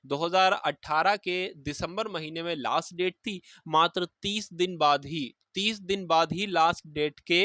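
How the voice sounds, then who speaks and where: A man's voice: on the high side, unhurried, few pauses, bright, forceful tone, no echo, studio quality. A man, explaining exam news to students informally and emphatically, repeating key phrases. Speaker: exam-preparation video host.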